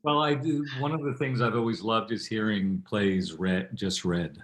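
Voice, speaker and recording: A man, an actor on a panel, talking casually and a little haltingly as he searches for phrasing. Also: fairly low pitch, a bit bright, slight background noise.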